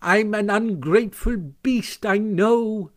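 A high-pitched, boastful storybook toad, played by a man. He is melodramatic and excitable, hitting his words with theatrical emphasis. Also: moderate pace. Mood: tearful remorse. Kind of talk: storybook character voice.

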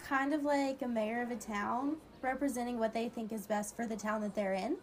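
Woman acting as curious young female friend, excitable, slightly high voice, girlish slang, questioning, scripted amateur radio drama. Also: moderate pace, lightly acted. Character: excitable young woman, curious about how government works.

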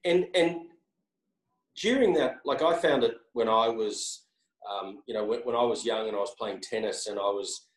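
A man's voice: lowish voice, normal speed. A man interviewing someone, asking long questions with many repeated words and hedges. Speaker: interviewer, company executive. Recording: echoey room.

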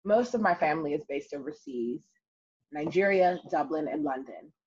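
A young adult woman telling her story as an interview guest, lively and emphatic. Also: low-pitched, moderate pace, breathy edge. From United States. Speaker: guest, city councilwoman. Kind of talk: conversational interview. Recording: muffled lo-fi audio.

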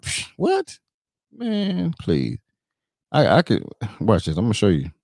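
A man's voice: mid-range voice, huge pitch swings, unhurried, creaky, a little mellow, no room sound, clean studio sound. A man hosting a casual show, joking loosely and often adding "you know what I'm saying.". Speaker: show host. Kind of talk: casual banter with sung jingle.

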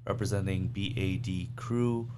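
A man in his thirties, originally from Bangladesh, talking as a guest on a podcast in a relaxed, slangy way with lots of "like" and "um". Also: low-pitched, unhurried, background noise. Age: 30s. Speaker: guest, physician. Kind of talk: casual podcast conversation.

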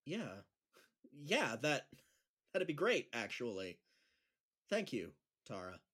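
A man's voice: medium pitch, wildly swooping pitch, takes it slowly, fairly bright tone, slightly rough, exaggerated voice. A man acting in an audio drama as a lonely, awkward school teacher, hesitant, earnest and self-deprecating. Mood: hopeful, grateful.

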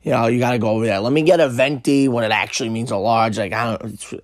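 A young man, hosting a podcast and telling a story in a brash, profane, animated way, his voice hoarse and worn out. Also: medium pitch, quick pace, no echo, clean studio sound. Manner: brash, swearing, animated retelling. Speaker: podcast host. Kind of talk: casual profane storytelling monologue.

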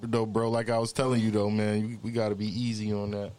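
A man's voice: on the low side, flat delivery, fast, darkish tone. A man in casual conversation, talking in loose slang as a co-host. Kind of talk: casual chat.

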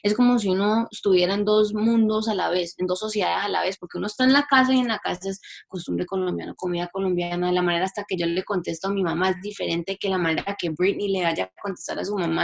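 Young woman with a gravelly voice. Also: on the low side. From Canada. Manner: reflective and chatty, slips in English words. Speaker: singer-songwriter guest. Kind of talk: casual interview talk.

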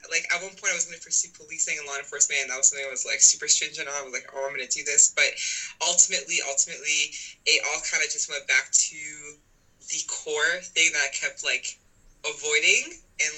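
A woman's voice: sits low, pushed and bright, low-quality recording. A woman from Canada, guest on an interview podcast, telling her story in a rambling, filler-heavy way. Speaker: podcast guest, writing coach. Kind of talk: casual interview chat.

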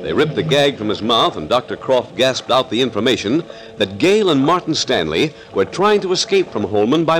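An actor narrating a 1940s radio serial as a dramatic, suspense-building announcer with an American accent and a theatrical, urgent manner. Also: male voice, medium pitch, close to an everyday voice. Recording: vintage radio recording, music underneath.

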